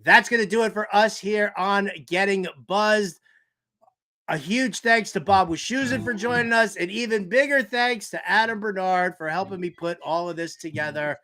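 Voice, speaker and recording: A man's voice: sits high, normal speed, pushed and bright. A man hosting a sports talk show, delivering theatrical, exasperated fan commentary with emphatic repeated phrases.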